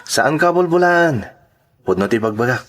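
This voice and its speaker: A man with an Ilocano accent playing an earnest, lovestruck suitor, gentle and persistent, in a radio drama. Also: medium pitch, expressive, normal speed, close to an everyday voice. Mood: flirting, playful.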